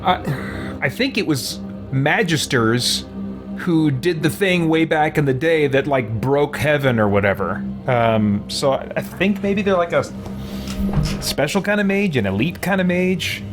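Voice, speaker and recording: A man hosting a game playthrough, chatting casually in a halting, thoughtful, rambling way. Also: fairly high pitch, animated, music in the background. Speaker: gaming streamer, host. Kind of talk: casual chat while playing.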